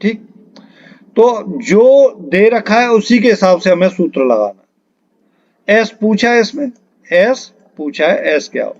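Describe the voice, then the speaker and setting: Slow, high-voiced man teaching. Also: expressive, muffled recording. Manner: explanatory, repeats key terms and checks understanding. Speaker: physics teacher for exam preparation. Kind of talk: step-by-step lesson working through questions.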